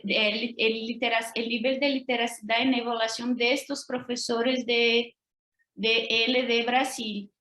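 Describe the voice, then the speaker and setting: A woman's voice: fairly high pitch, a bit bright. A woman from Brazil whose first language is Portuguese, giving an academic lecture over a video call with a Brazilian Portuguese accent and careful pacing, often checking in with tag questions. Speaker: guest lecturer. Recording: roomy video call audio.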